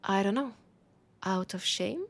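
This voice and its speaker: A woman with a French accent playing a teasing, warm bookshop keeper in an intimate audio roleplay, soft and playful, often slipping toward a whisper. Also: medium pitch, lively intonation, unhurried, gravelly. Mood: musing, playful. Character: teasing, warm young-sounding human bookshop keeper, chatting to vampire.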